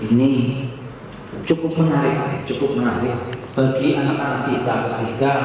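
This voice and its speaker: A man giving a public speech as an official, often repeating phrases for emphasis. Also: mid-range voice. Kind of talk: public speech at a book launch. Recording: very echoey hall, distant mic, faint noise.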